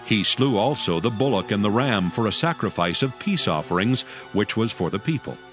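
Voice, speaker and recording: A man narrating scripture from a script in a measured, formal manner. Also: lowish voice, lively intonation, fairly bright tone. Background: music.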